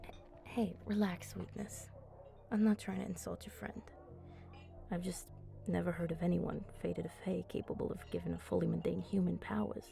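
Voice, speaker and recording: A woman voicing a flirty, teasing female vampire in an ASMR roleplay, with a breathy voice that often drops to a whisper. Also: fairly low pitch, flat delivery, dark tone, soft background music. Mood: soothing, apologetic. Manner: slow, teasing, coaxing.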